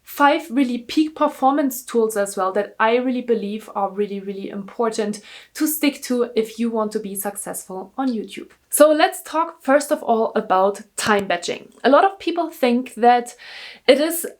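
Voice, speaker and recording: A woman's voice: on the high side, lively intonation, moderate pace. A young German woman whose first language is German, chatting upbeat to camera in her vlog with a German accent, repeating words for emphasis. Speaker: YouTuber. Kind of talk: casual talking-to-camera vlog. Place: indoors, seated in front of a camera.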